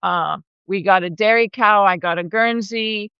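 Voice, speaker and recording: A woman in her forties with a bright, crisp voice tells her story in a chatty way and laughs often. She grew up in Argentina and Brazil and speaks on a live video call. The sound is dry and a little dull. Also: mid-range voice, measured pace, no room sound. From born in Argentina, raised in Brazil. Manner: warm, chatty, laughs often, frequent restarts. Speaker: regenerative rancher and holistic management educator. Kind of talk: casual conversational storytelling. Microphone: video call microphone.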